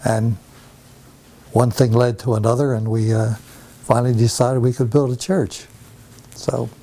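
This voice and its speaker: Older man from New Jersey, mellow voice. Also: mid-range voice, little pitch movement, poor audio. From New Jersey, USA. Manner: unhurried, pauses often with many fillers. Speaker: interviewee. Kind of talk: oral history interview. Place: home.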